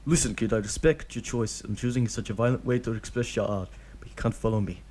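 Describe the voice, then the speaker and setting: Man voicing a firm, weary adult ninja, slightly lifted, low-key. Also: fairly even intonation, fast, darkish tone, slight background noise, lightly acted. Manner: firm, tired, lightly raised.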